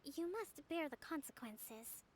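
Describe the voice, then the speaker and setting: Composed, high-voiced young priestess. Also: female voice, lively intonation, a little hiss or hum. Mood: firm, formal. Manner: measured, formal, composed. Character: calm, dutiful young priestess leader, gentle but firm. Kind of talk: video game cutscene dialogue. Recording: faint music behind the voice.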